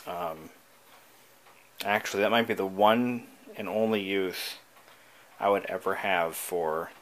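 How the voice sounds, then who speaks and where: A man giving a casual, unscripted show-and-tell as a hobbyist, thinking aloud in a musing, halting way. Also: deep voice, heavy background noise. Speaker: hobbyist showing an old device he is restoring.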